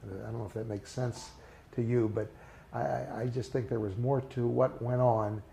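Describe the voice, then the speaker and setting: An older man reminiscing in a casual interview, speaking haltingly with many fillers and restarts. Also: mid-range voice, fairly even intonation, vocal fry, warm tone, slight background noise. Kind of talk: recalled personal memories in a casual interview.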